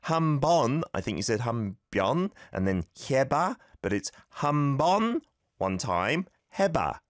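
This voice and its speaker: A man hosting a radio show, coaching listeners through a phrase in a language he did not grow up speaking, relaxed and self-deprecating. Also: mid-range voice, quick pace, no room sound, studio quality. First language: not Korean. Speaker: radio show host teaching Korean. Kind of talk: casual radio lesson chat.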